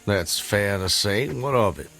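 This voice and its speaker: A proud Southern gentleman in a radio drama, his voice low and grave. He speaks in measured, formal phrases like a man of firm principle, with strong music underneath. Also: lively intonation, gravelly, full character voice. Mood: guarded, bitter. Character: proud, resolute Southern gentleman planter, haunted Civil War rebel. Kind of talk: radio drama acting, narrating and dialogue.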